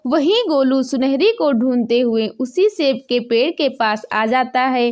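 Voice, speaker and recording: A woman's voice: sits high, a little slow, a little mellow. A woman narrating a scripted children's story.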